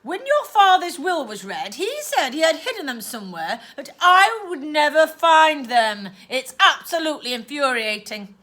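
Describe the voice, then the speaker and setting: A woman voicing a scheming, cruel older aunt who talks loud and clipped, with sickly-sweet baby talk. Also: extremely high pitch, very animated, measured pace, pitched above the performer's own voice, heavily acted. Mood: theatrical menace.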